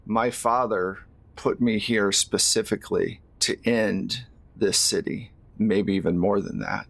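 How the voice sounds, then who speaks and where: Man acting a grave, slow-spoken bitter fairy. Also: lowish voice, steady tone, vocal fry, fairly bright tone, dry recording, voice pushed down, exaggerated voice. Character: embittered male fairy, son of a ruler, resentful of humans.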